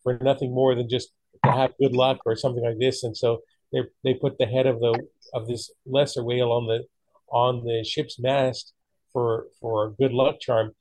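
A man's voice: medium pitch, flat delivery, normal speed, a little mellow, dry recording, rough recording. A man in casual conversation, talking in an opinionated, emphatic way. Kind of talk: casual chat.

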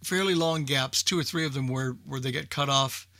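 A man hosting a casual, unscripted radio talk show, speaking in a halting way and repeating words as he thinks. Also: medium pitch, sharp tone, no room sound.

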